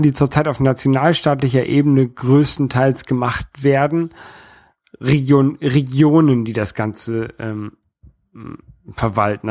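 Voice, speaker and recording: North German man, halting casual talker. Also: medium pitch, a little mellow, dry recording, clean studio sound. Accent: light North German. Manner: halting, repeats words and phrases while searching for the next. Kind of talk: casual political discussion.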